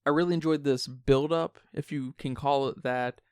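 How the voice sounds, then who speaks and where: A man's voice: medium pitch, steady tone, moderate pace, darkish tone, clean tone, no echo, studio quality. A man co-hosting a podcast, talking through a film in a casual but analytical, reflective way. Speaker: podcast co-host.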